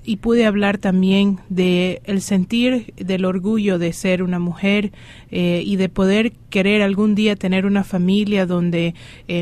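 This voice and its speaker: A young woman in her twenties from Ecuador, a guest activist, speaking proudly and deliberately. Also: mid-range voice, darkish tone.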